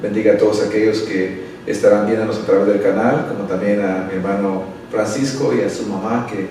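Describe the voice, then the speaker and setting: Unhurried pastor, low voice. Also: male voice, flat delivery, mellow, a little hiss or hum. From El Salvador. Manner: unhurried, earnest, frequent tag questions. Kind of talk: interview answers and prayer. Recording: echoey room, a bit far from the mic.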